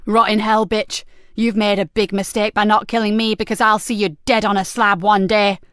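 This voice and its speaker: A woman voicing a cold, mocking female killer who taunts slowly. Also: sits high, creaky, no room sound, studio quality, pitched above the performer's own voice, exaggerated voice. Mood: vicious. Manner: slow, sneering, taunting.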